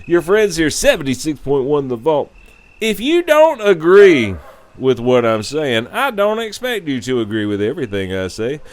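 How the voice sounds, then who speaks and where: Animated man hosting an irreverent rant. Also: fairly high pitch, moderate pace. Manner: irreverent, sarcastic, profane. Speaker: radio-style show host. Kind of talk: casual opinionated rant.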